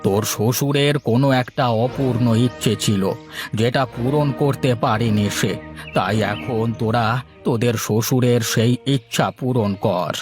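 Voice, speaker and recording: A man's voice: mid-range voice, fairly even intonation, moderate pace, pitched below the performer's own voice, natural voice. A man voicing a cryptic old holy man in a cartoon, grave and ominous in manner. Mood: grave warning. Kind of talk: Bengali moral-story cartoon voice acting. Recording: music behind the voice.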